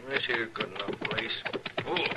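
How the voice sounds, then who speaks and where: A man's voice: lowish voice, moderate pace, lightly acted. An actor playing a scheming male outlaw in a 1950s radio western, with a drawling twang. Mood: bossy. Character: scheming male outlaw burying stolen gold. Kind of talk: 1950s radio drama acting. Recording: loud hiss of old radio transcription.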